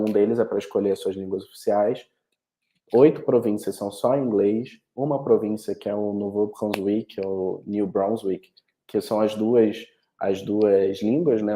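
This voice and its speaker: A man's voice: lowish voice, dark tone, roomy sound, a little hiss or hum. A man with a Carioca accent giving an informative online presentation over a video call, often restarting his sentences. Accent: Carioca Brazilian Portuguese. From Rio de Janeiro, Brazil. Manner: informative, with frequent restarts and fillers. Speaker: international education representative.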